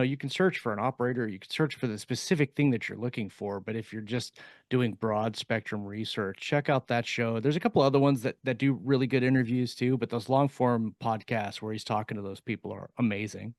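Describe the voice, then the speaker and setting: A man talks casually as a podcast co-host, his voice sitting at an ordinary pitch. The sound is dry and free of room reflections. He stumbles over word starts as he builds his point. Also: fairly even intonation, no echo. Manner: halting, repeats word starts. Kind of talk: casual panel discussion.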